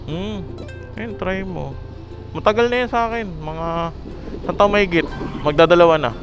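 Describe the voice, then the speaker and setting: Guy chatting on his motorbike, kinda high voice, helmet intercom, music clearly behind him. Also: moderate pace. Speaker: motorcycle taxi rider and vlogger. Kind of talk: casual chat with a passenger while riding. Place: outdoors on a motorcycle in traffic. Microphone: helmet headset intercom.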